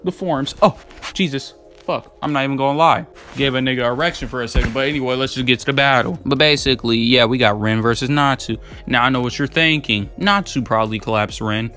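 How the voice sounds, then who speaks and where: A man's voice: medium pitch, a little fast, sharp tone, faint music behind. A young man giving casual, unscripted commentary as a video creator, rambling in a slangy, profane, hyped and emphatic way. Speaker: video creator debating anime battle matchups.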